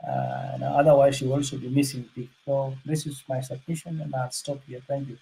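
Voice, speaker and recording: An older man from South Sudan giving unscripted panel remarks, halting, with many fillers and restarts. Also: medium pitch, little pitch movement, vocal fry, mellow, husky, poor audio.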